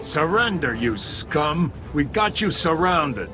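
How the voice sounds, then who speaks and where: Tired army sergeant, clipped military talk, video game scene w loud music. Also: male voice, a little high, sing-song, slow pace. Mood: triumphant. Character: battle-weary, disheartened male army sergeant. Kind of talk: sci-fi video game acting.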